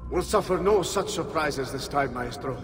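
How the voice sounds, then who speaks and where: A man's voice: fairly high pitch, lively intonation, moderate pace, close to an everyday voice. An actor playing a confident, deferential henchman, firm and dutiful, in a video game. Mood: assured. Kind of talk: video game cutscene acting. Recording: echoing stone hall, music behind.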